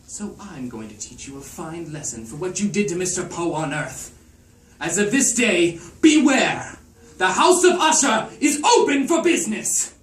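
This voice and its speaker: A man's voice: on the high side, expressive, moderate pace, hardly any pauses, pitched above the performer's own voice, lightly acted. A man voicing a flamboyant, vengeful male host of a haunted mansion, declaiming theatrically in a roomy recording from a distant mic. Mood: grandiose. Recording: roomy sound from a distant mic, faint hiss.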